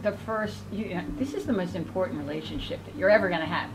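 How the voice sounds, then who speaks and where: A woman's voice: lowish voice, fast. A woman giving a psychic reading in a reassuring, conversational way. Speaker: psychic reader. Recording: noisy room ambience.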